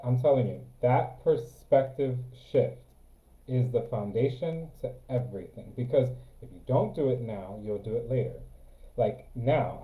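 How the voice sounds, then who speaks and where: A man chatting casually as a co-host, giving earnest, repetitive advice. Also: medium pitch. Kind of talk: casual spiritual chat. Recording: echoey phone-like audio.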